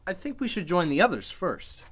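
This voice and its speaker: A man's voice: high-pitched, sing-song, fairly quick, a bit bright. Congressman for vintage-style radio thriller, male actor: arrogant yet capable, uneasy, swinging intonation, some noise behind. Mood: guarded. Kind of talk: golden age radio drama acting.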